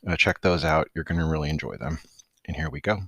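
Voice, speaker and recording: Low-voiced man hosting a podcast. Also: fast, vocal fry, fairly bright tone, no echo. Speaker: podcast host. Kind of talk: casual podcast introduction and interviewing.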